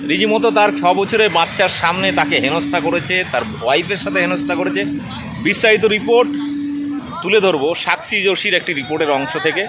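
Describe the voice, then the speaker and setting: A man's voice: high-pitched, quick pace, background noise. A man with a Bengali accent presenting an opinionated news introduction.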